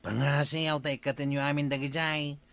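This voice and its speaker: Radio comedy village aide, earnest and firm, sharp slightly high voice. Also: male voice, flat delivery, moderate pace, gravelly, no echo. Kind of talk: Ilocano radio comedy drama acting.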